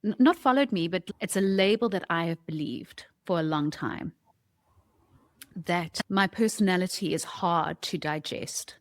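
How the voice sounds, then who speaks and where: A woman's voice: fairly low pitch, a little slow, no echo. A woman co-hosting a podcast, talking candidly and reflectively in a conversational style. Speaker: podcast co-host.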